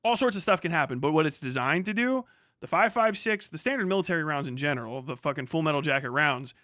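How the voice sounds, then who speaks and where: A man's voice: a little high, animated, fast, a bit bright, dry recording, clean studio sound. A man hosting a livestream, giving casual commentary in a profane, rambling way with emphatic explanations. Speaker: livestream host.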